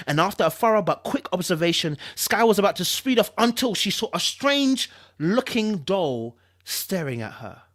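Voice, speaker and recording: A woman reading a story aloud in a theatrical, suspenseful and informal storytelling style. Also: fairly low pitch. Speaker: host reading a novel aloud to followers. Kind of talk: dramatic storytelling read-aloud with chatty asides and vocal sound effects.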